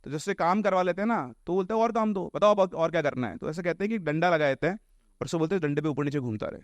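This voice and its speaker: A man's voice: fairly high pitch, fast talker, no echo. A man telling ghost tales casually in an explanatory way, mixing in English words. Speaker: storyteller on a horror podcast.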